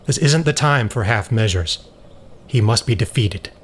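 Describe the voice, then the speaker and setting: A man's voice: lowish voice, expressive, measured pace, slight background noise. A man playing a resolute, hard-line former soldier in an audio drama, speaking firmly and seriously. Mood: grim, determined. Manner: firm, serious, argumentative.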